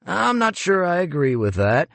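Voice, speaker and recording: A man's voice: sits high, very animated, normal speed, vocal fry, fairly bright tone, dry recording, voice pushed up, full character voice. A man doing a smug, breezy museum boss. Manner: breezy, condescending.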